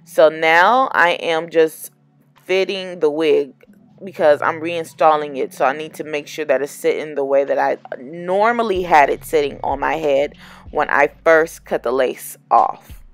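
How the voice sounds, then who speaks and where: A woman's voice: low voice, a little slow. A woman chatting casually to camera as a wig-making vlogger, explaining each step and often correcting herself. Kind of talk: casual how-to chat to camera.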